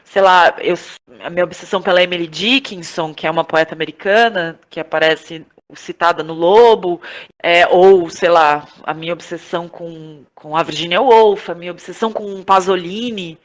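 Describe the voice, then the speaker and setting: A Brazilian woman giving reflective interview answers, thinking aloud in a halting, searching way with frequent tag questions. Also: fairly low pitch. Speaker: podcast guest, playwright, actress and director.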